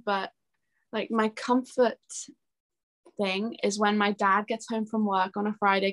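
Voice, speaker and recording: Young woman, casual and chatty. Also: medium pitch. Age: young adult. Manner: chatty, giggly, informal. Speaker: interview guest, singer-songwriter. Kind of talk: casual chat.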